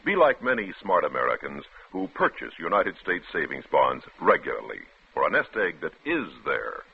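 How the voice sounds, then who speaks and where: A man announcing an old-time radio public service ad like an emphatic storytelling pitchman. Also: huge pitch swings, normal speed, gravelly, breathy edge. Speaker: commercial announcer. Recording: tinny, band-limited old radio recording.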